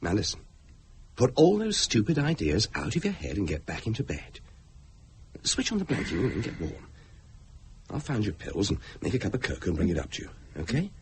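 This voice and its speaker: An actor playing a protective, patronizing British husband in a radio drama, coaxing yet quick to anger. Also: male voice, fairly low pitch, huge pitch swings, a little hiss or hum. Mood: soothing, firm. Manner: coaxing endearments, brisk commands, emphatic.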